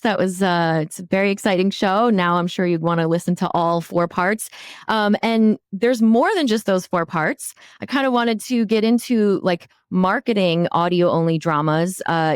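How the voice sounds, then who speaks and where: A woman's voice: medium pitch, smooth, no room sound, studio quality. A woman hosting a podcast in a casual, conversational interview style.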